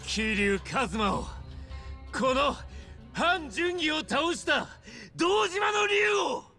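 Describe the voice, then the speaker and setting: A man's voice: very high voice, expressive, very slow, bright, forceful tone, raised voice, faint music behind, heavily acted. An actor playing an elegant, vain male fighter and club owner in a video game, speaking with polished, formal theatricality. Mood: commanding, grand. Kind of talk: dramatic video game acting.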